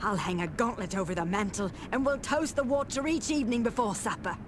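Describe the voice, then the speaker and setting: High-voiced grateful innkeeper played by a woman. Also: fairly quick, noisy background, close to an everyday voice. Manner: earnest, effusive. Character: grateful, pious village innkeeper, humble and earnest. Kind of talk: video game dialogue acting.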